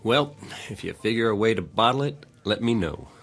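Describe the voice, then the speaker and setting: A low-pitched young man in a radio play, worn down and short on patience. He talks plainly with wry skepticism, over faint music. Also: big pitch swings, moderate pace, a bit bright, husky, heavily acted. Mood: wry, envious. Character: harried, skeptical, down-on-his-luck young working man. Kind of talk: audio drama acting.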